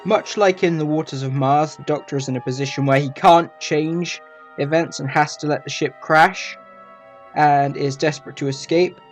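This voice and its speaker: A man's voice: fairly high pitch, fairly even intonation, normal speed, soft background music. A man giving a casual review commentary as a video reviewer.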